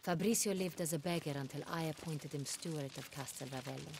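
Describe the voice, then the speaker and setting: Imperious duchess, lowish voice. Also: female voice, vocal fry, background noise. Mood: calm, assured. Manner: formal, authoritative, measured. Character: imperious, commanding young duchess, regal and sharp-tempered. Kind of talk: video game voice acting.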